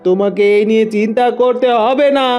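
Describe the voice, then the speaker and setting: A woman voicing a bossy middle-aged village mother-in-law in a cartoon, sharp-tongued and complaining. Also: fairly high pitch, brisk, dull audio, faint music behind, lightly acted. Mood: scolding. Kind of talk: cartoon voice acting.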